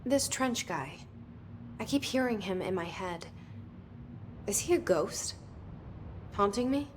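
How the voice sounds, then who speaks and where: A woman's voice: medium pitch, animated, takes it slowly, background noise. An actress playing a guarded, searching young woman with an American accent, in an understated, wry, terse way. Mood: guarded, curious. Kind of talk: naturalistic game acting, introspective monologue and dialogue.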